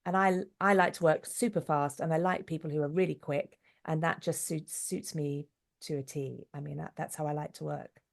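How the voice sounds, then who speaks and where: A middle-aged woman giving a casual interview, telling stories in a chatty, animated way. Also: sits low, moderate pace, vocal fry. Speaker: interview guest, actress and director.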